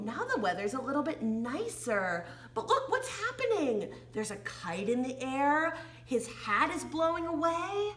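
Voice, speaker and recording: Animated, high-voiced American woman teaching young children. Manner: exaggerated, playful delivery with gasps and questions to small children. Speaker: children's read-along and sing-along teacher. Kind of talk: interactive storytelling and sing-song reading for young children.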